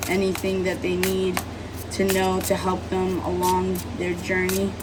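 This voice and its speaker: Sick woman with a rough, hoarse voice. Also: on the low side, monotone, measured pace, distant mic, noisy background. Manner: rambling, apologetic, many fillers. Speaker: tarot reader. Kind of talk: casual tarot reading monologue.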